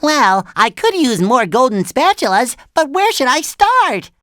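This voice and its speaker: A man voicing an excitable, naive, cheerful young cartoon sea sponge in a video game, with a squeaky nasal voice and eager, childlike earnestness. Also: a little slow, no echo, studio quality, full character voice. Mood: chatty, eager. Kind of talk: animated video game voice lines.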